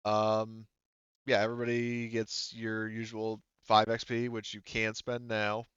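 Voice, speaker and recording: Low, smooth-voiced man running a game. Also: monotone, fairly bright tone, no echo, muffled recording. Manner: halting, explanatory, informal. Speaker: game master. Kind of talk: casual tabletop game narration and chat.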